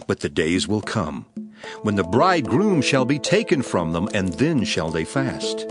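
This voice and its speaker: A lowish, expressive voice a calm holy teacher with solemn authority. He speaks in measured, commanding phrases over clear music in a scripture drama. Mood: instructive. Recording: music behind the voice.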